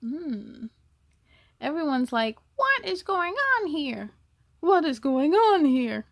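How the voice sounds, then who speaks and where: A woman hosting a children's story time, reading a picture book aloud expressively, with animated exclamations, musing asides and questions to the listeners. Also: high voice, takes it slowly, vocal fry, no echo, studio quality.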